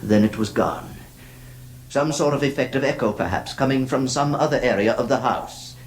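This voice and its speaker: An actor playing a haunted gentleman who narrates a horror radio play, intense, with dramatic pauses. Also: mid-range voice, a little hiss or hum, close to an everyday voice. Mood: uneasy, hushed.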